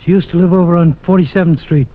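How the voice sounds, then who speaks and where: High-voiced hipster jazz pianist, played by a man. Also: quick pace, a little hiss or hum, close to an everyday voice. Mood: cool, casual. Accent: American. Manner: slangy, casual beatnik talk. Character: laid-back hipster male jazz pianist. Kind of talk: 1940s-50s radio drama acting. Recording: tinny old-time radio recording, music behind.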